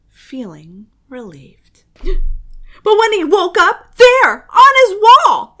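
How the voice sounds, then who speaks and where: Animated, high-voiced woman reading spooky stories. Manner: expressive, suspenseful, often dropping to a dramatic whisper. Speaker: children's book reader. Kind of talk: theatrical read-aloud narration of a spooky children's story.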